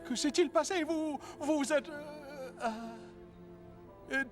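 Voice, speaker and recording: A man voicing an excitable, chatty donkey sidekick in an animated film. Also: very high voice, takes it slowly, faint music behind. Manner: rambling, nervous chatter.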